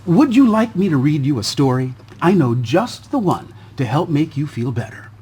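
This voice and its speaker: A man voicing a gentle papa in a storybook, slowly and soothingly reassuring a frightened child. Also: on the high side, very animated, slightly rough, full character voice. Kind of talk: storybook character voice.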